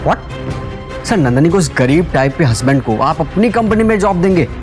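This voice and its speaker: Actor as a higher-voiced snobbish office lackey. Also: male voice, expressive, fairly quick, lightly acted. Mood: snide. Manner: deferential to boss, disdainful. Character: snobbish, fawning office subordinate to the boss. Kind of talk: Hindi audio drama acting. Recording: music behind the voice.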